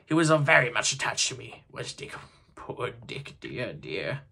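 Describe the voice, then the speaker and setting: A man voicing an old miser, talking in short, subdued replies that can turn excited. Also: mid-range voice, fast talker, gravelly, husky, exaggerated voice. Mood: tender. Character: gruff old miser, softened, wistful.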